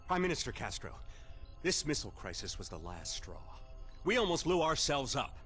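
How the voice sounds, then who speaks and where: An actor playing a formal, grave American defense official in a game cinematic, with clipped briefing delivery. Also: male voice, a little high, sing-song, normal speed, a bit bright, faint music behind. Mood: stern. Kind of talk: dramatic game cinematic acting.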